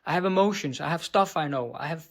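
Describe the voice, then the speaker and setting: A man's voice: fairly high pitch, fast, vocal fry. A man from Denmark with a Danish accent, a business coach talking straight to camera, blunt and profane, often tagging "right?" and stumbling over words without stopping. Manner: stumbles and restarts words, unbothered. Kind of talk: direct-to-camera monologue.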